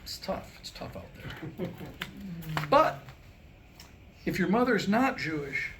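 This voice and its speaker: Wry man, slightly high voice, noisy room. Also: sing-song, slow pace. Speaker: comedic speaker reading his own script. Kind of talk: humorous scripted monologue before a live audience. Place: live reading before a small audience.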